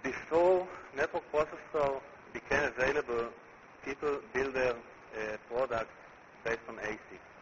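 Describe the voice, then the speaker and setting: Man, Israeli accent, conference presenter, deliberate delivery, mid-pitched voice, hissy background. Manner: deliberate, repeats words while building points. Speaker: company CEO giving a conference talk. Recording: muffled recording with clear background noise.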